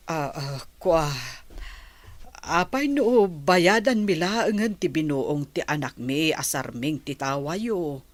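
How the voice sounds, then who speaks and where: A woman's voice: sits low, expressive, slow pace, no room sound. A woman playing a worried, apologetic mother who pleads humbly and hesitantly for her child in a radio drama. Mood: anxious, pleading. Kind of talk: Filipino radio drama acting.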